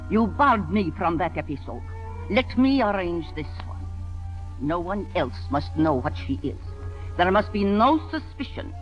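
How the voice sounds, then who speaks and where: An actress playing a domineering older mother in a 1940s radio drama, measured, imperious and calculating. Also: lowish voice, big pitch swings. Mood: cold scheming. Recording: dull vintage radio recording with music.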